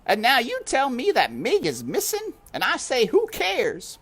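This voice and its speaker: A woman's voice: high voice, huge pitch swings, measured pace, slightly rough, voice pushed up, heavily acted. A woman voicing a tall, stern female housekeeper who talks sharply and scornfully. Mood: indignant.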